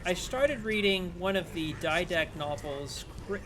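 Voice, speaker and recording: A man with a fairly high voice talks loosely through a game stream, drifting between play and viewer questions. His voice sounds strained and a little worn. Game sounds and noise run clearly beneath him. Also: measured pace. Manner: rambling, chatty, answering chat between plays. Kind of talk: casual live-stream gameplay commentary.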